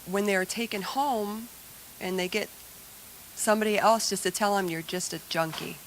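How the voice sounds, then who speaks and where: A woman on a recovery panel, speaking haltingly and with feeling about people lost to addiction. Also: mid-range voice, a little hiss or hum, rough recording. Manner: halting, searching for words. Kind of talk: emotional personal testimony.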